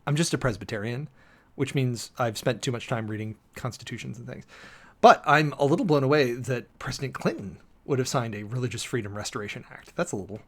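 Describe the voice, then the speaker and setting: Fast-talking, sardonic American man. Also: medium pitch. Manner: sardonic, emphatic, mock-exasperated asides. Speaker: preacher and commentator. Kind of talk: dramatic reading with off-the-cuff commentary.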